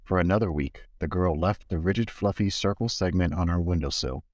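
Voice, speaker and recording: A man's voice: low-pitched, a little fast, a little mellow, no room sound, studio quality. A man giving a literary reading of poems. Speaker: guest reader of poems.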